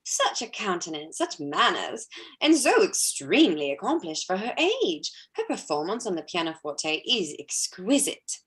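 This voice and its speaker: Woman as young society lady, haughty, affected, animated intonation, theatrical period drama. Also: lowish voice, moderate pace. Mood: gushing. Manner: arch, affected, gushing.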